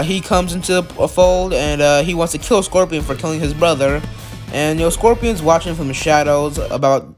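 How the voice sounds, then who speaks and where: A man with a cold hosting his channel, recapping video-game character lore in a rambling way, full of likes and uhs. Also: fairly high pitch. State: has a cold. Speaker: channel host.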